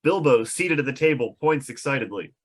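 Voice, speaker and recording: A man's voice: medium pitch, expressive, a little fast. Man narrating script directions in a medium voice. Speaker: narrator reading stage directions. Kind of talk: scripted narration at a table read.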